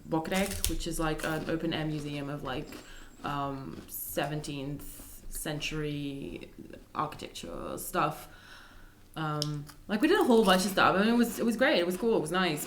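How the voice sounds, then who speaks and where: A woman's voice: low voice, a little hiss or hum. A Belgian woman with a British-leaning accent, chatting and rambling casually while streaming a game. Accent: Belgian English, leaning British. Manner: chatty and rambling, laughs between thoughts. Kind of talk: casual let's-play chat. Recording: roomy echo.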